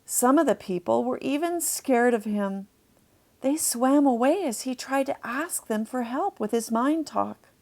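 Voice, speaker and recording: A woman narrating a children's storybook, deliberately explaining things to young listeners as she reads. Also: a little high, expressive, a little mellow.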